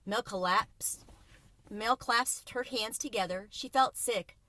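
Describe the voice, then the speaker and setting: Bright-voiced woman reading a story aloud. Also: a little high, takes it slowly, rough recording. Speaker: read-aloud host. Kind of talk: children's audiobook read-aloud.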